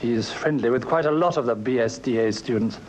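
An actor playing an earnest, nervous young suitor in a British radio drama, hesitant and deferential, with Received Pronunciation. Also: male voice, mid-range voice, fairly quick, darkish tone, natural voice. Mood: earnest, aggrieved. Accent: English Received Pronunciation. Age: young adult.

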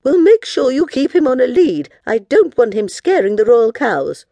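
Haughty, high-pitched cow queen. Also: big pitch swings, moderate pace, creaky, mellow, no echo, heavily acted. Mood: grumpy. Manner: pompous, commanding.

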